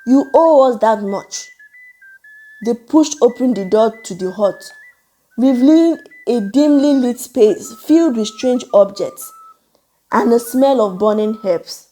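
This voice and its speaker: A woman narrating a scripted folktale in a measured, suspenseful, dramatic way. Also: on the high side, animated, warm tone, faint music behind, rough recording. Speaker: storyteller, narrator.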